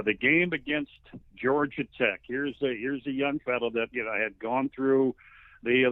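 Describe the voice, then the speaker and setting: A male play-by-play broadcaster as an interview guest, giving conversational football analysis in a folksy, halting, emphatic storytelling way. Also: mid-range voice, dry recording. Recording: thin narrow phone-like line.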